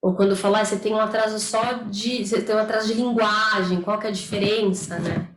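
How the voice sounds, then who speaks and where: A woman's voice: mid-range voice, fairly even intonation, brisk. A woman speech therapist hosting an informal online study group, welcoming people in a chatty way and often asking "né?". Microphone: computer or phone on a video call. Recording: roomy echo, faint noise.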